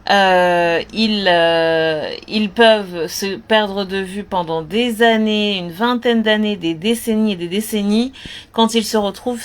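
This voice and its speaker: Woman, earnest, direct address, hesitant fillers, repetitive, spiritual advice talk. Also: medium pitch. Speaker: spiritual advice content creator. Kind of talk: casual talk.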